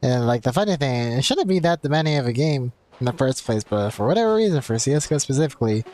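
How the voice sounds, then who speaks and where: A man's voice: medium pitch, very animated, quick pace, darkish tone. A young man livestreaming a game, chatting casually in a rambling, conversational way. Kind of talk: casual chat while gaming. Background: game music.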